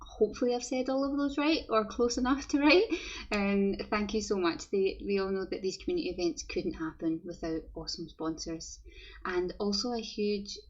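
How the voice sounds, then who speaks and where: A woman's voice: mid-range voice, steady tone, moderate pace, dull audio. A young woman with a Glasgow accent, a developer giving a friendly, chatty online conference talk and often repeating words as she goes. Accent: Scottish, Glasgow.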